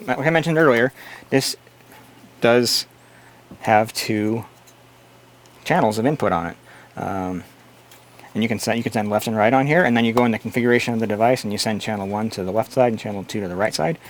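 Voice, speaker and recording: A man with a fairly low voice speaks over faint but steady background noise. He hosts a live tech stream, fielding viewer questions in a casual, explanatory style. His manner is blunt and opinionated. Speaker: host answering live chat questions.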